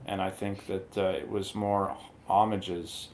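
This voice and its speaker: A man's voice: sits low, level tone, unhurried, heavy background noise. A man chatting casually as a panelist in a movie review discussion.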